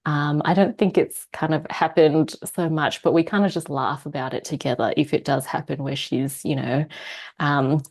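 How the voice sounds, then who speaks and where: A woman's voice: sits low, fairly even intonation, moderate pace, darkish tone. A young woman guest on a podcast, talking casually with frequent laughs and hesitant fillers. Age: young adult. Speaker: guest psychologist. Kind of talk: casual interview chat.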